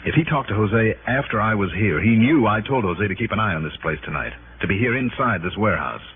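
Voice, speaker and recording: Guy acting shrewd old-time radio detective, animated and probing, thinks out loud, thin vintage broadcast sound. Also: lowish voice, quick pace, phone-quality sound, a little hiss or hum, lightly acted. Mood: suspicious, probing. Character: shrewd, dogged male insurance investigator. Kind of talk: 1950s-60s radio detective drama acting. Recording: band-limited old radio recording.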